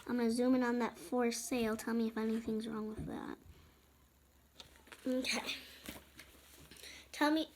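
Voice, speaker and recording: A woman's voice: a little high, level tone, measured pace, pauses often, a little hiss or hum. A young girl reading a picture book aloud, breaking off for informal, chatty asides to other children watching. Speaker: storybook reader and channel presenter.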